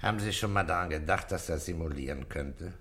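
An actor in a German radio crime drama playing a calm, authoritative middle-aged police commissioner with a terse, measured, commanding manner. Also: male voice, low-pitched. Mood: grave, probing.

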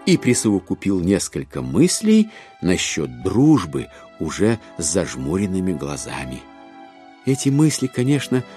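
A male narrator of a classic literary drama. His voice is lowish and dark, very varied in melody, telling the story wryly over faint music. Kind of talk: literary audio play narration.

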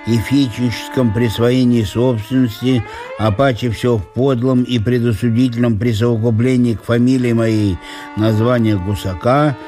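Man playing a pompous, aggrieved provincial landowner, mellow voice. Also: mid-range voice, steady tone, moderate pace, soft background music, close to an everyday voice. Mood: solemn accusation. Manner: pompous, florid officialese, solemnly indignant. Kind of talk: theatrical audio-play monologue.

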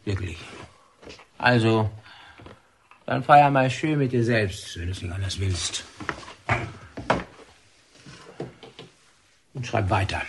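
A man's voice: fairly low pitch, measured pace, noisy background. An actor playing an avuncular, well-meaning male warder, chatty and musing, in a naturalistic radio drama. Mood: resigned. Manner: hesitant, musing, conversational.

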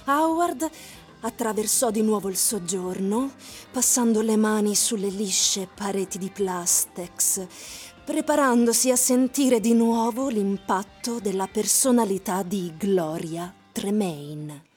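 An actress delivering scripted dramatic narration of a tense literary story for a radio play. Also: female voice, medium pitch, slow, a little mellow, close to an everyday voice. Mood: measured, solemn. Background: music.

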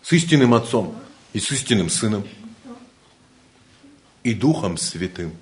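A man's voice: fairly low pitch, big pitch swings, unhurried. A man from Siberia with a Siberian Russian accent, giving an informal spiritual lecture in a joking, rambling way and laughing often.

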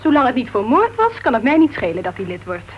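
An actress playing an outspoken, flirty married woman who writes crime novels, brisk and blunt, in a Dutch radio play. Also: on the high side, very animated. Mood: curious, dry. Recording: dull, noisy old radio recording.

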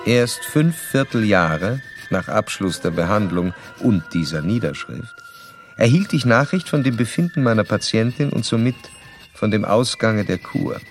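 Formal doctor, lively low intonation. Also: male voice, soft background music. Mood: formal, recounting. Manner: formal, measured literary phrasing. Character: formal, probing physician recounting a case. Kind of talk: radio drama, narration and dialogue.

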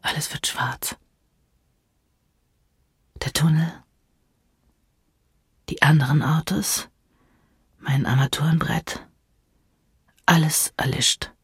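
An actress playing a woman narrating a dark, trancelike inner monologue in hushed, breathy, deliberate short phrases. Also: deep voice, fairly even intonation, in a whisper, heavily acted. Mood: hushed, eerie. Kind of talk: intimate audio drama monologue.

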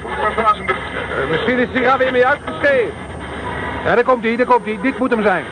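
An actor playing a weary, grumbling radio detection officer tracking illegal transmitters from a car in a radio play. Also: male voice, extremely high pitch, animated, moderate pace, very noisy. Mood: focused.

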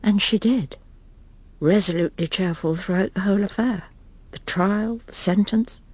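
A woman's voice: medium pitch, moderate pace, a little mellow, breathy edge, no room sound, natural voice. An actress in a radio drama playing a proper, judgmental English wife and mother with a clipped, haughty manner. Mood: haughty, disapproving. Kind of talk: BBC radio drama acting.

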